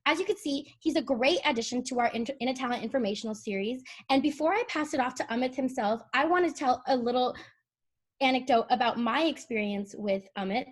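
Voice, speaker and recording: A woman's voice: sits high, brisk. A woman hosting a video call, introducing a guest in an eager, conversational way. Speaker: host.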